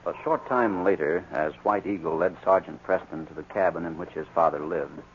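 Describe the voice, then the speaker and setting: A man narrating a scripted 1940s radio adventure in a measured storytelling manner. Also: low-pitched, natural voice. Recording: muffled, noisy 1940s radio recording.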